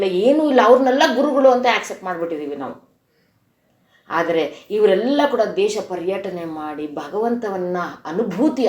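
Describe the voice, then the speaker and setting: A woman speaks as a devotional teacher in an informal discourse. Her voice sits at a middle pitch, and her manner is earnest and explanatory. The recording has a clearly echoey room sound. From Karnataka, India. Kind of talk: informal spiritual discourse explaining a Sanskrit verse.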